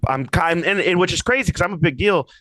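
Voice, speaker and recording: A man's voice: high voice, fast, sharp tone, dry recording. A man co-hosting a podcast, telling comedy-gig stories in a rambling, casual way with lots of restarts and asides. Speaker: podcast co-host. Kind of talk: casual chat. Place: lives in Boston.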